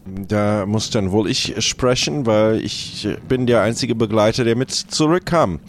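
A man's voice: lowish voice, measured pace. A man playing a companion in a pirate crew, in an improvised audio adventure. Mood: uneasy, earnest. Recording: ambient noise behind voice.

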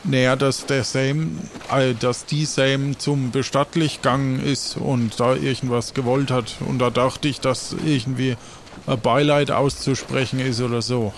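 A man's voice: medium pitch, steady tone, a little slow, slight background noise, natural voice. A man playing a laid-back, rambling sailor in improvised pirate role-play, speaking colloquially over music.

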